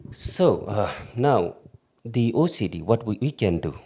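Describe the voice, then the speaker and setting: A man's voice: fairly low pitch, big pitch swings, unhurried, dark tone, slight background noise. A man speaking as a psychiatrist guest, explaining conversationally in short choppy phrases, repeating words for emphasis and checking in with the listener.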